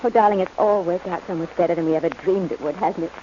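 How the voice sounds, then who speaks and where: A woman's voice: on the low side, quick pace, warm tone, lightly acted. Scheming widow, female actor: coy, cooing, gleeful, vintage radio melodrama, dull old recording with light hiss. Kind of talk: 1940s radio melodrama acting.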